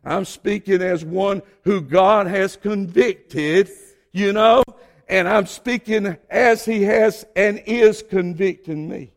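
An older man preaching a sermon, his voice hoarse, building to shouted emphasis. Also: high voice, takes it slowly, big-room sound.

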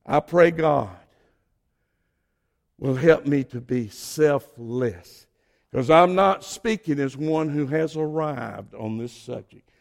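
An older man preaching a sermon, his voice hoarse, building to shouted emphasis. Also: a little high, expressive, slow pace, long reverb tail.

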